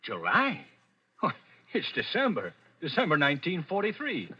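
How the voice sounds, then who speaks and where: A bossy, gruff cop in an old radio drama. His voice is fairly high and swings widely as he barks clipped orders. The sound is dull and aged. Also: huge pitch swings, normal speed, full character voice. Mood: matter-of-fact. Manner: barking orders, clipped everyday speech. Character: gruff, bossy, practical policeman at a street accident. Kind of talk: 1940s radio drama acting. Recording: muffled old 1940s radio recording.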